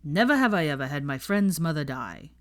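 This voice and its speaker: A woman voicing a curious, blunt, deadpan young male wizard in a story reading. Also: deep voice, a little fast.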